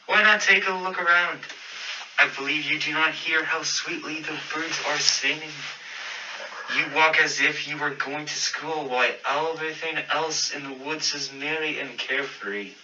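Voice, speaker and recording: A man's voice: a little high, moderate pace, far from the mic, muffled recording, background noise, lightly acted. A man playing a sly, gluttonous, coaxing wolf with mock-polite sweetness in a fairy-tale radio play.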